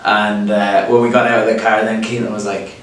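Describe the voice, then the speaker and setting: A man's voice: lowish voice, flat delivery, fairly quick. A young man with an Irish accent chatting casually as a co-host, explaining with plenty of "like" and "you know" and laughing often. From Ireland. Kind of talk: casual chat. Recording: echoey room, voice a bit far from the mic, faint hiss.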